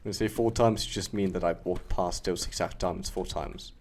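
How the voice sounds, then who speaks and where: A man's voice: low voice, brisk, slight background noise. A young man with a German accent chatting casually and joking as he streams a game. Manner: relaxed, chatty, laughing and joking with a co-player. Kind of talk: casual gaming chat.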